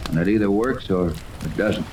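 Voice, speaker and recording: An actor playing a steady, fatherly middle-aged sea captain in a 1930s radio serial. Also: sits low, moderate pace, close to an everyday voice. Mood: resigned. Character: seasoned, steady male yacht captain and inventor, fatherly. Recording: noisy, echoey old radio recording.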